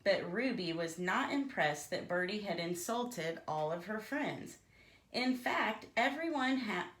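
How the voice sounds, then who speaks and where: A woman's voice: fairly low pitch, a bit bright, reverberant. A woman reading a children's storybook aloud expressively and theatrically, as if to young listeners. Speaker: storybook reader.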